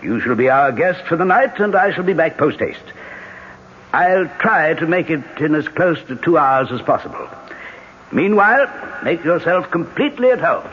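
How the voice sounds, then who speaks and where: A man's voice: a little high, big pitch swings, moderate pace. An actor playing a commanding Irish man in a 1970s radio drama, with an Irish accent. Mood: courtly, hospitable. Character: tough, decisive Irish gunrunner, later a courteous aristocratic lord. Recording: muffled, noisy vintage radio broadcast.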